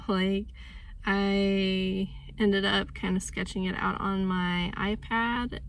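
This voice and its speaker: A sick woman in her thirties talks casually and rambles as she thinks aloud. Her congested voice sits at a middle pitch with plenty of gravelly fry. A faint hum of room ambience runs behind her. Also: level tone, takes it slowly. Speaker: author vlogging her writing process. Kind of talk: casual vlog chat.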